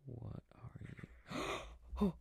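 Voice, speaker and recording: A man playing an affectionate, easily startled boyfriend in an intimate sleep-aid roleplay, rambling softly to himself about his game and often drifting into whispers. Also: drawn-out words, no echo. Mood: startled, uneasy.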